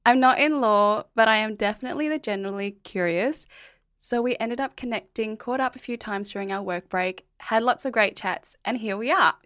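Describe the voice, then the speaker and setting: A woman's voice: fairly high pitch, a little fast, a bit bright. A woman hosting a podcast, presenting and asking questions in a conversational interview style. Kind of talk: scripted podcast intro, then casual interview.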